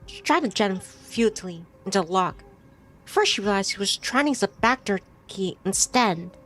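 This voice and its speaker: A woman streamer reading a visual novel aloud in expressive storytelling narration. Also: mid-range voice, normal speed, faint music behind.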